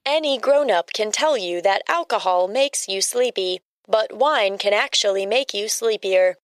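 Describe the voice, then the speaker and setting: Female co-host delivering fun facts; matter-of-fact, medium pitch, dry studio sound. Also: lively intonation, a little slow, no echo, faint music behind. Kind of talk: scripted informational narration.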